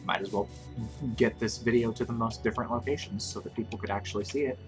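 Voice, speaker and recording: A man's voice: fairly low pitch, steady tone, fast talker. A man in his twenties, a streamer chatting casually and joking at his own expense while he plays. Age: 20s. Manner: rambling, self-mocking, chatty. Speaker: Twitch streamer. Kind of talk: casual gameplay commentary. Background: game music.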